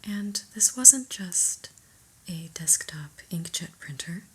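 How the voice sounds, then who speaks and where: A woman playing an unimpressed, politely corporate new manager in a soft, whispered ASMR roleplay, with a measured, dryly disapproving manner. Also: lowish voice, animated, slightly rough, heavily acted.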